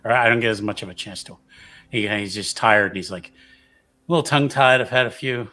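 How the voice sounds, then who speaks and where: A man's voice: fairly low pitch, brisk, pushed and bright. Man playing a tongue-tied, tired short guy. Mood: casual, tongue-tied. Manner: casual, tongue-tied, hesitant. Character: short, tired, paranoid man, a little tipsy.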